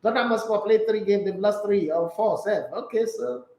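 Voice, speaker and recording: Man, high voice, animated and opinionated, sports talk host, echoey room. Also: brisk. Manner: animated, opinionated, jokey asides. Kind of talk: casual sports talk, reading news and viewer comments.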